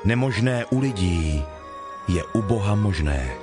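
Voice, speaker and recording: A man playing Jesus as a solemn, authoritative teacher in a dramatized scripture reading, speaking in measured declarations. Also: on the low side, animated, close to an everyday voice. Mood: grave. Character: Jesus, solemn teacher telling parables and instructing followers. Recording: music behind the voice.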